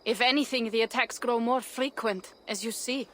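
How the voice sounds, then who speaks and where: A woman's voice: fairly high pitch, steady tone, normal speed, a little hiss or hum, lightly acted. An actress playing a bold, eager young Viking raider woman in a video game. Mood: grim, practical. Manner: bold, boisterous.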